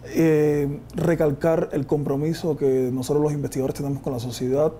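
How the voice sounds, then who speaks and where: A man's voice: a little high, level tone, moderate pace, warm tone, a little hiss or hum. A man in his thirties with a Cuban accent, a research scientist explaining things in a documentary interview, recorded on a lapel mic. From Cuba. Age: 30s. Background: background music.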